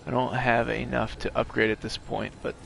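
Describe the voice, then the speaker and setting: A man with a hoarse voice from a recent illness, chatting casually while streaming a game and explaining it to a viewer. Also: mid-range voice, level tone, moderate pace, fairly bright tone, slight background noise. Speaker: gaming streamer. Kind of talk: casual live gameplay commentary.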